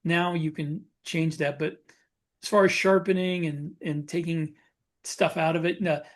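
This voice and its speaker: A man chatting casually as a podcast co-host, explaining things in a halting way. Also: on the high side, fairly even intonation.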